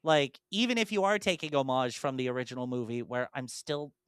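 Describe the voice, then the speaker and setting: A man's voice: mid-range voice, lively intonation, brisk, a bit bright, clear, resonant tone, no echo, clean studio sound. A young man co-hosting a podcast, talking in an enthusiastic, rambling way with frequent restarts. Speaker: podcast co-host. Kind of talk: casual chat.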